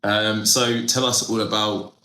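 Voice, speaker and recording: A man hosting a podcast in a relaxed, teasing way. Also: fairly low pitch, unhurried, echoey room. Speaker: podcast host. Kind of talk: casual interview. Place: podcast studio.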